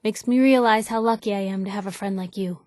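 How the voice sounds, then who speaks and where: A woman's voice: medium pitch, quick pace, darkish tone, no echo, clean studio sound, close to an everyday voice. An actress with an American accent playing a feisty, nosy young woman reporter as a game companion, wry, snappy, informal and emotionally direct. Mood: warm, grateful. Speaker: video game companion character. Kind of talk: acted game dialogue.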